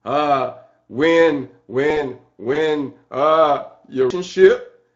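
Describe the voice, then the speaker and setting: A man preaching an excited church sermon in a halting way, repeating phrases and punctuating them with amen and hallelujah. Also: fairly high pitch. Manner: excited, fervent. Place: church service. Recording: dull, lo-fi sermon recording.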